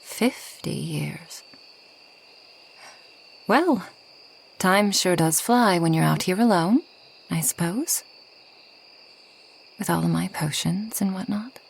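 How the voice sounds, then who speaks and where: Slow, husky forest witch, played softly by a woman. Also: lowish voice, exaggerated voice. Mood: soft, wistful. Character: reclusive, centuries-old kind but proud forest witch. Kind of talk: soft ASMR roleplay.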